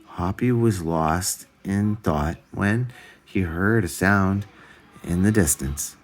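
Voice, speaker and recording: A man reading a children's story aloud in a deliberate, expressive way. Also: low voice. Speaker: storybook reader.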